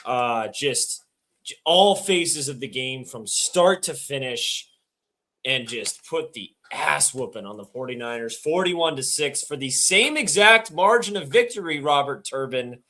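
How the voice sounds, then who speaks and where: Hoarse, raspy man hosting a podcast. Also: medium pitch. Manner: excitable, quick bursts. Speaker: podcast host. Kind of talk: casual podcast chat.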